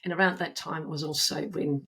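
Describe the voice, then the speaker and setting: A woman's voice: low-pitched, fairly even intonation, fairly quick, pushed and bright. A middle-aged woman from Austria with a mixed Austrian, Danish and New Zealand accent, a podcast guest chatting in a self-deprecating way with many fillers. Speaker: guest, agile coach and author. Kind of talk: casual podcast conversation.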